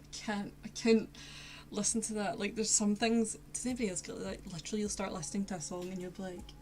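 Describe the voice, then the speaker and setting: Young woman, posh Glasgow accent, rambling self-mocking streamer chat, mid pitch. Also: level tone, fast talker, a little mellow, slight background noise. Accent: posh Glaswegian Scottish. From Scotland. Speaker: art streamer. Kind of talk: casual live-stream chat while painting. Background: faint lo-fi music.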